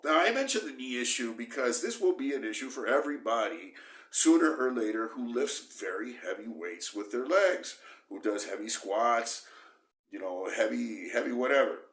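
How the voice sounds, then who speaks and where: Hoarse middle-aged man with a cold, roomy sound. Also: mid-range voice. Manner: emphatic and repetitive, animated bursts. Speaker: bodybuilding vlogger. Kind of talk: casual talk to camera. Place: small dim room indoors. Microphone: camera close at face, moving. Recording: roomy echo.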